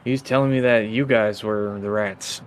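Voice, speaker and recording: A man playing a laid-back older uncle from a crime family in a tabletop game, terse and unhurried. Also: on the low side, steady tone, slight background noise, lightly acted. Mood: weary, resigned.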